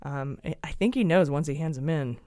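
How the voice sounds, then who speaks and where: A woman co-hosting a casual political podcast, sarcastic and quick to laugh, often restarting her sentences. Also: sits low, warm tone, dry recording, clean studio sound. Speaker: podcast co-host.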